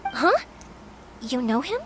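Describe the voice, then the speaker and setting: High, animated young fireworks maker Yoimiya. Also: female voice, slow pace, slight background noise, heavily acted. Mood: bright surprise. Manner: expressive, earnest, polite. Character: cheery, considerate young woman fireworks maker. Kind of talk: video game story voice acting. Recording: loud music behind the voice.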